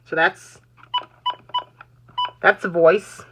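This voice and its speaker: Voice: mid-range voice, fairly even intonation, drawn-out words, background noise. A person giving a casual, rambling show-and-tell demonstration, often repeating and restarting phrases. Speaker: amateur video maker demonstrating weather radios.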